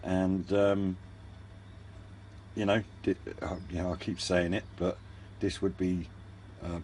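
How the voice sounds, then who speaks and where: A man with a deep, mellow voice talks through a casual hobby review. He is unscripted and understated, hesitating and rambling as he explains. There is a faint layer of noise behind him. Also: little pitch movement, takes it slowly. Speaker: hobbyist radio reviewer and channel host.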